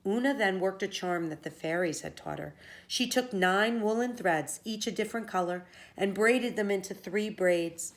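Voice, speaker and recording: A woman's voice: mid-range voice, normal speed. A woman teacher reading a story aloud to children, expressively and with explanation.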